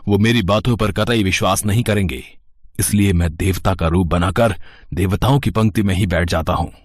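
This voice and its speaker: Casting: man as grave god or demon in animated myth, lowish voice, formal declamatory lines. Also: moderate pace, no room sound, clean studio sound, lightly acted. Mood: pondering, wary. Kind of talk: animated mythological story dialogue.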